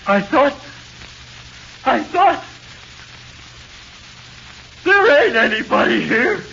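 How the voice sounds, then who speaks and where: A man's voice: very high-pitched, very animated, very slow, vocal fry, sharp tone, full character voice. An actor playing a haunted, nervy small-time crook who talks in plain, ungrammatical tough-guy slang, in 1940s radio drama. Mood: frantic pleading. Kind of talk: 1940s radio drama acting, first-person narration. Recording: muffled, noisy 1940s radio recording.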